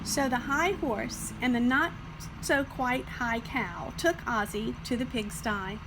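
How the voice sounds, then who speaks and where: A woman reading a children's picture book as scripted narration, with expressive, deliberate phrasing. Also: on the high side, noisy background. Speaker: storybook reader.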